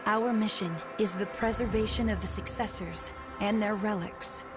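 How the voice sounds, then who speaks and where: Actress as young female game guardian, solemn, burdened by guilt, measured formal speech, loud music underneath. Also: mid-range voice, steady tone, natural voice. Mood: solemn explaining. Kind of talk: dramatic video game dialogue.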